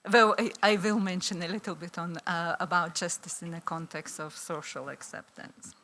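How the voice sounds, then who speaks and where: Lithuanian accent, woman, middle-aged, conference talk, bright voice, lower pitch, many ums and restarts. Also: steady tone, moderate pace, slight background noise. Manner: hesitant, many fillers and restarts. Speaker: academic conference speaker. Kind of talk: conference presentation.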